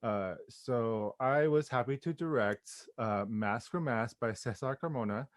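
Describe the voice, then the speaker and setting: A man's voice: medium pitch, measured pace, dry recording. Enthusiastic, informal man in a dry recording. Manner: effusive, informal, peppered with "like" and slang. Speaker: interviewed playwright and theatre director. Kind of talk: casual interview chat.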